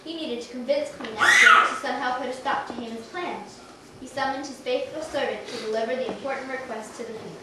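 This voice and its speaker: A woman's voice: high-pitched, a little fast. A woman reading scripted story narration for an amateur puppet show. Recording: echoey room, distant mic, clear noise.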